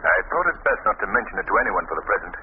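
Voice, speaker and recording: An actor playing a composed gentleman sleuth with measured, formal delivery in an old radio drama. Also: lowish voice, lively intonation, lightly acted. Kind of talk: 1940s radio acting. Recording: tinny, noisy old radio recording.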